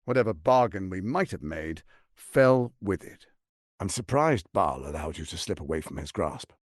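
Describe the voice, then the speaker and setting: A man's voice: sits low, lively intonation, normal speed, dry recording, studio quality. A man voicing a suave, scheming, arrogant archduke in a fantasy video game, silky, grandiose and condescending in his theatrical delivery.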